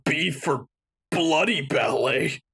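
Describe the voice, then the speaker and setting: A man's voice: on the high side, animated, slow, gravelly, a bit bright, slightly rough. A man co-commentating on a video game with casual jokes. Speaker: let's play co-commentator. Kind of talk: casual joking banter. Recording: game music behind the voice. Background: video game music and effects.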